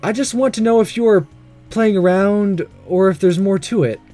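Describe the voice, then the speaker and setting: A man voicing an earnest, nervous, lovestruck male high school student in a visual novel, speaking hesitantly. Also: normal speed, mellow, soft background music, lightly acted. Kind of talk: reading visual novel dialogue aloud.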